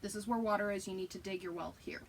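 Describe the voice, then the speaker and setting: A woman's voice: medium pitch, steady tone, fast. A woman with a Southern US accent chatting casually as a co-host, self-deprecating and rambling as she tells stories. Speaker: podcast co-host. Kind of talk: casual chat.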